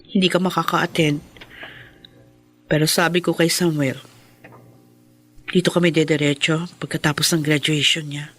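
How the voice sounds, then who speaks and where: An actress with a Filipino accent playing a steadfast, hurt wife of a prisoner, tender and emotional, in a radio drama. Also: female voice, sits low, level tone, moderate pace, pauses often, a bit bright, soft background music. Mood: gently concerned.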